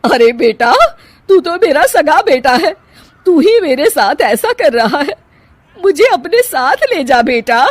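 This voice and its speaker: Woman acting an older mother-in-law, high voice. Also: sing-song, takes it slowly, slight background noise, heavily acted. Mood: pleading. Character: stern older mother-in-law favouring her own son.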